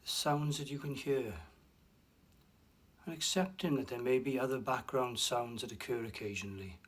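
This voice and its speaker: Man, meditation guide, soft soothing delivery, long pauses, gentle breathy hush. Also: medium pitch.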